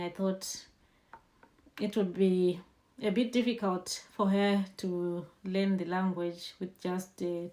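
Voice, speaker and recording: Woman calmly explaining things to viewers. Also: fairly low pitch. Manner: conversational, unhurried, explains step by step and gives personal tips. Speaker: host and language teacher. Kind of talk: informal explainer lesson to camera.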